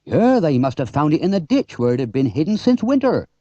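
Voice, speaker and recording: A man's voice: fairly high pitch, sing-song, fairly quick, dark tone, voice pushed up. A man voicing a friendly, plain-spoken country farmer in a children's storybook reading. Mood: cheerful. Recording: dull, roomy old cassette tape.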